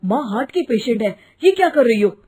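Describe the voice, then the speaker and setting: A woman's voice: fairly high pitch, expressive, brisk, dark tone, breathy edge. A woman voicing an earnest young husband and son in a comic cartoon story, protesting and explaining reproachfully.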